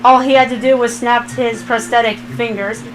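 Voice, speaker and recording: Woman reading a fanfic out loud, kinda high voice, narrator style, background music. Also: steady tone, normal speed, rough recording. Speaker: fan fiction reader, narrator. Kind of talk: scripted story narration.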